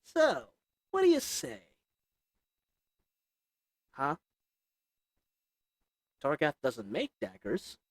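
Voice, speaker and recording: A man's voice: on the high side, wildly swooping pitch, extremely slow, pauses often, no echo, studio quality, exaggerated voice. A man voicing a sly little old goblin merchant who sells shady goods, talking in a wheedling folksy drawl. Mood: sly salesman.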